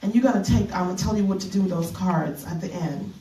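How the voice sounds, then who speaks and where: Middle-aged woman minister from the Bahamas, keynote at a women's retreat; mellow, slightly low voice, faint hiss behind. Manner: earnest, halting with fillers and restarts. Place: conference room. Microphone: handheld mic. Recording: echoey room from a distance.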